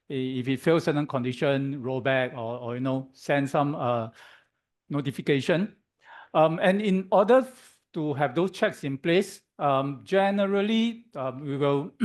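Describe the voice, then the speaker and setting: Hoarse guy doing a meetup talk, slightly high voice, lots of um and okay?. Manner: halting, many "um"s, keeps checking in with "okay?". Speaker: guest speaker at a tech meetup. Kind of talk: conference presentation. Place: office meetup room.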